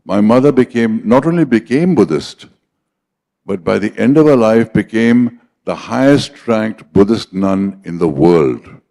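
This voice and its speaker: Older man, Indian English accent, mellow and dark-toned, a personal storyteller at a leadership conclave. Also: on the low side, animated, measured pace, gravelly. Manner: anecdotal, switches into Hindi for quoted dialogue. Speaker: conference speaker.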